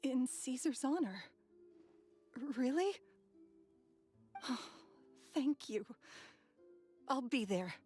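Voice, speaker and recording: A woman playing a gentle, sorrowful young woman in a video game, halting and tender. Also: high-pitched, expressive, soft background music, full character voice. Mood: touched, grateful.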